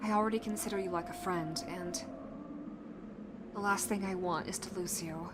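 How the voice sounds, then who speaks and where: A woman playing a determined, protective young fighter in a zombie outbreak audio roleplay, speaking earnestly and haltingly to the listener. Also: on the low side, fairly even intonation, heavy background noise, lightly acted. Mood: grateful, resolute.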